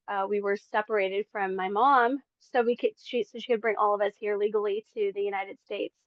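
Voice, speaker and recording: A woman's voice: medium pitch, no room sound, muffled recording. A woman in her forties from the Philippines, sharing her faith testimony as a podcast guest in earnest, chatty talk. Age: 40s. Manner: earnest, chatty, many fillers. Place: home in Las Vegas.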